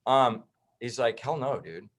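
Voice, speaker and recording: A man's voice: medium pitch, lively intonation, unhurried. Blunt, heated man on a podcast. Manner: blunt, heated, swears and says bro. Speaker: podcast guest, chiropractor. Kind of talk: casual podcast conversation.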